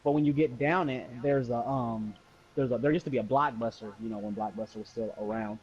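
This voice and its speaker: A man's voice: mid-range voice, fairly quick, breathy edge, highs cut off. An American man casually telling a personal story, acting out dialogue in slangy, sweary speech. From Muskegon, Michigan, USA. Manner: animated retelling with acted-out dialogue and slangy swearing.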